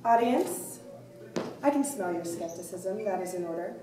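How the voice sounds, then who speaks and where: A woman playing a grave, commanding elderly blind soothsayer in a staged play reading, speaking formally and deliberately. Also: mid-range voice, lively intonation, crowd noise, close to an everyday voice. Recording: echoey room, distant mic, faint noise.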